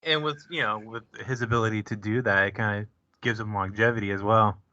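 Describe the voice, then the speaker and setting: A man's voice: lowish voice, steady tone, brisk, bright, forceful tone, no room sound. A man hosting a podcast in casual chat, easygoing and agreeable. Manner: easygoing, agreeable, short backchannels. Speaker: podcast host. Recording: dull lo-fi podcast audio, dry.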